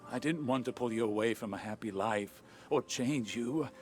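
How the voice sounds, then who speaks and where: A man's voice: mid-range voice, normal speed, soft background music, lightly acted. An actor playing a devoted man in a video game, earnest and tender, insistent as he consoles the one he loves. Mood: insistent, pleading. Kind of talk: dramatic video game voice acting.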